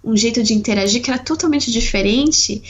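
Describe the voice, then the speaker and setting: A woman's voice: on the high side, a little fast, highs cut off. A Brazilian woman co-hosting a podcast, sharing personal memories in a reflective, casual way. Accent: Brazilian Portuguese. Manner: reflective, personal storytelling, frequent "né". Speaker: podcast co-host. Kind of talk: casual chat.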